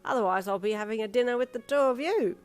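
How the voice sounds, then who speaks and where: A woman's voice: fairly high pitch, quick pace, faint music behind, pitched above the performer's own voice, close to an everyday voice. Woman playing a wobbly, cheeky old man. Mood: bright, playful. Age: older. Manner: bright, leering, teasing. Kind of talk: character voice in narrated story.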